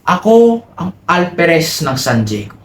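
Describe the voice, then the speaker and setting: A man's voice: fairly high pitch, very animated, slow, roomy sound. A man playing a pompous, abusive Spanish colonial army lieutenant in a boastful, demanding theatrical monologue.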